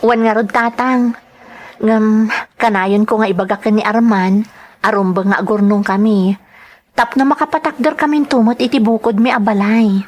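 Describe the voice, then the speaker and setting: A woman playing a gentle, dutiful young woman in love in a radio drama, with an Ilocano accent. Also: a little high, steady tone, normal speed, close to an everyday voice. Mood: grateful, earnest. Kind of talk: radio drama acting and first-person narration.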